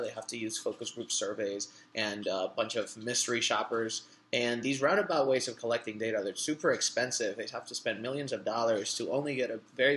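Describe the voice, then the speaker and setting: A man speaking as a startup founder, giving an informal product demo pitch. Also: a bit bright. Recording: clearly noisy recording.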